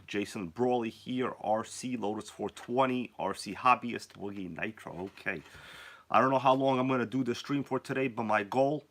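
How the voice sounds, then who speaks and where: A sick man hosts a casual live stream, his voice worn down by a cold. He jokes around and greets viewers by name in a bright, forceful tone. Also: on the low side.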